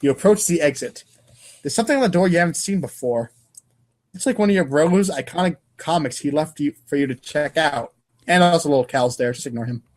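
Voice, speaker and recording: A man's voice: a little high, animated, brisk, a little mellow. A man reading comic narration aloud in a casual, irreverent way, stumbling over lines now and then. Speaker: podcast co-host and reader.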